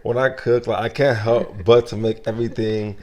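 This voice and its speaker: A young man from Nigeria, a guest in a casual interview, talking in a soft, reflective way with many fillers and restarts. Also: fairly low pitch, fairly even intonation, normal speed.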